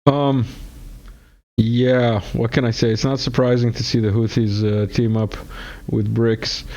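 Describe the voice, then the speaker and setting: A man's voice: mid-range voice, level tone, a little slow, a little mellow. A man hosting a casual talk show, laid-back and hesitant, with frequent fillers and laughter. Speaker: host. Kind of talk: casual interview chat. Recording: slightly dull lo-fi sound.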